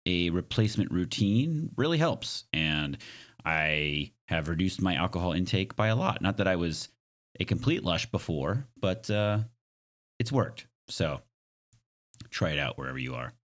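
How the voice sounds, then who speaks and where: A man hosting a podcast solo in a casual, reflective way, with a slightly hoarse, raspy, smoky voice and a self-deprecating, joking manner. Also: deep voice, big pitch swings, a little slow, a bit bright. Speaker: podcast host.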